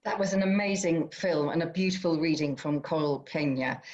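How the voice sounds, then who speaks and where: A woman's voice: deep voice, normal speed, darkish tone, dull audio. A woman chairing an event, giving introductions in a conversational, slightly hesitant way. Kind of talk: informal spoken introduction.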